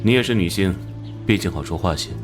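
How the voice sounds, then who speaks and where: A commanding police captain from an animated crime drama, acted by a man. His voice sits very deep, gruff and businesslike, with faint music underneath. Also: quick pace, close to an everyday voice. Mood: curt. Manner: plain-spoken, businesslike, giving orders.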